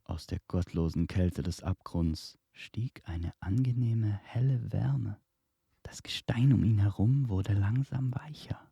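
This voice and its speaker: A man narrating a fantasy tale in a suspenseful, measured storytelling manner. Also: fairly low pitch, creaky, dark tone, slightly rough, no echo. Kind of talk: dramatic scripted fantasy narration.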